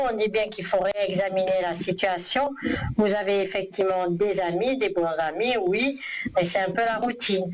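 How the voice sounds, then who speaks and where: A woman's voice: mid-range voice, a little hiss or hum, rough recording. A woman giving a psychic reading over the phone, talking in a hesitant, meandering way with many fillers. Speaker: psychic reader. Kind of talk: phone-in consultation.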